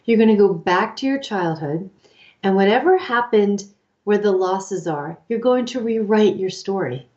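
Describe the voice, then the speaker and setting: A woman in her fifties co-hosting a casual advice chat, explaining ideas in an animated way and acting out the inner voices she quotes. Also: mid-range voice, normal speed, muffled recording. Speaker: co-host, life coach.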